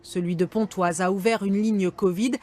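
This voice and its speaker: A woman's voice: medium pitch, fairly even intonation, moderate pace, dark tone. A woman reporter reading scripted narration for a television news report.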